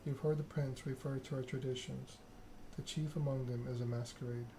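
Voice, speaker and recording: A man's voice: mid-range voice, steady tone, brisk, background noise. A man doing an ASMR read-aloud of a narrative game, occasionally stumbling over the written text. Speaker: gaming channel host.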